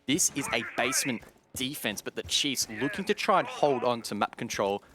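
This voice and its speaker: A man commentating on a competitive shooter match, explaining tactics in a measured way. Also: mid-range voice, expressive, a crowd in the background. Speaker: esports commentator. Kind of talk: live analysis and commentary of a competitive shooter game. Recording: music and gunfire behind the voice.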